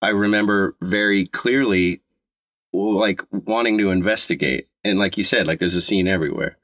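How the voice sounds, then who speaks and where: A man in his fifties from Colorado, co-hosting a podcast in casual chat, talking with a slight stutter and halting restarts. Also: low-pitched, fairly bright tone, no room sound, clean studio sound. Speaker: podcast co-host.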